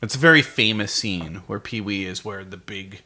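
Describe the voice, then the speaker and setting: A man's voice: lowish voice, fairly quick, bright, forceful tone. A man co-hosting a casual film discussion, explaining trivia and putting questions to his co-host. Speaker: podcast co-host.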